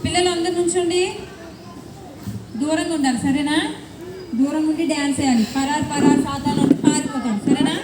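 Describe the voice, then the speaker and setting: A woman's voice: high voice, steady tone, brisk, pauses often. A woman hosting a children's puppet show, prompting and instructing like a Sunday school teacher. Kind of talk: lively question-and-answer with children and puppet. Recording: echoey hall, distant mic, clear noise.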